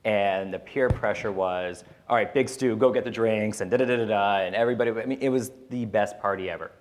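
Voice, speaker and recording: A man with a fairly low voice talks off the cuff as a podcast panelist. He is candid and rambles a little, telling personal stories in loose, casual language. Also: a little fast.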